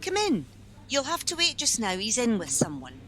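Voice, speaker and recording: A woman's voice: fairly high pitch, huge pitch swings, moderate pace, sharp tone, a little hiss or hum, exaggerated voice. A woman playing a flustered, well-meaning helpline office worker in a naturalistic radio play, speaking hesitantly.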